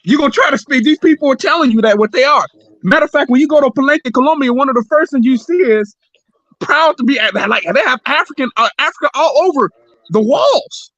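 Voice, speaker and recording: A man's voice: very high voice, quick pace, fairly bright tone, dry recording, dull audio. A man hosting a livestream, arguing heatedly and repeating himself, sometimes swearing. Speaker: livestream host. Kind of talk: heated casual debate.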